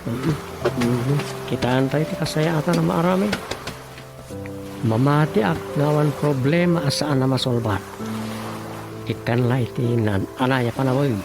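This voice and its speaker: A man's voice: mid-range voice, measured pace, long pauses, close to an everyday voice. A man acting an earnest, problem-solving village husband in an Ilocano radio comedy drama. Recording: radio drama with music bed behind.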